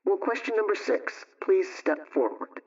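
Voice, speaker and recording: Distant, dull radio sound, higher-voiced male presenter. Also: expressive, normal speed. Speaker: radio household advice presenter. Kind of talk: scripted radio question-and-answer reading.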